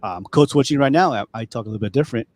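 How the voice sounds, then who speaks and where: A man's voice: medium pitch, rapid-fire. Rambling Californian man hosting a chat. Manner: relaxed, rambling, many fillers. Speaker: podcast host. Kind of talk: casual chat.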